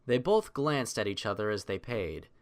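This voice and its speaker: Expressive man narrating with lively pitch. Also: normal speed. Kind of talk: scripted story narration.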